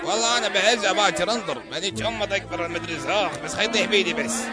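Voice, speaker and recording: A man acting a jumpy young student with a high, quick voice. He talks eagerly over loud music in a comedy sketch. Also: over a noisy crowd, natural voice. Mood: excited. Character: jumpy young male student, Abu Mishal.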